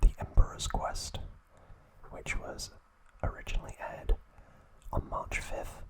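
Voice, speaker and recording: A man hosting ASMR, reading trivia in a breathy, hushed, soothing whisper. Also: rough recording. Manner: slow, hushed, soothing delivery. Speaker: ASMR video host.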